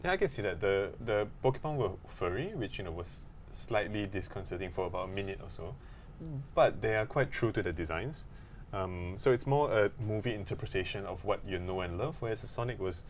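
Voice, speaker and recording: A man's voice: sits low, big pitch swings, brisk, slight background noise. A man co-hosting a podcast in casual, conversational chat. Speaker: podcast co-host.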